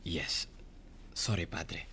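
Meek, slow monastery helper. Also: male voice, low-pitched, sharp tone, breathy edge, heavily acted. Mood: flustered, meek. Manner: hesitant, deferential. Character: meek, flustered, obedient Spanish monastery helper. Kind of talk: radio drama acting.

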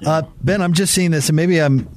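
A man's voice: fairly high pitch, a little mellow, no echo. A man co-hosting a sports radio show, talking casually and firing off questions. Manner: conversational, fires off questions, brisk station reads. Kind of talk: casual sports talk banter. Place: radio studio. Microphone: studio broadcast mic.

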